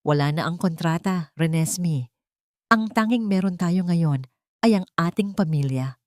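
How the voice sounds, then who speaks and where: A woman's voice: fairly low pitch, a little slow, dry recording, clean studio sound, natural voice. A woman voicing a devoted, protective rich husband with an earnest, assured manner in a romance drama. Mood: earnest, tender.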